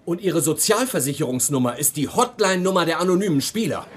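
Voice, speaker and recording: Formal manager, lively pitch. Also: male voice, fast talker, breathy edge. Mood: stern. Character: formal, matter-of-fact personnel manager. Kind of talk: dubbed sitcom acting.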